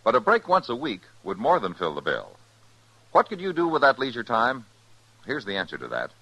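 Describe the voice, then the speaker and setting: A man's voice: mid-range voice, wildly swooping pitch, fairly quick, gravelly, pushed and bright, no room sound, rough recording. A man with an American accent reading a scripted appeal as a 1950s radio announcer.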